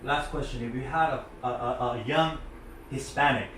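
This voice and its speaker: A man's voice: medium pitch, fairly even intonation, moderate pace, a bit bright. Man in a very echoey room. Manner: hesitant, repeats words when starting. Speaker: interviewer. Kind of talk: interview questions. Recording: very echoey room, distant mic, faint noise.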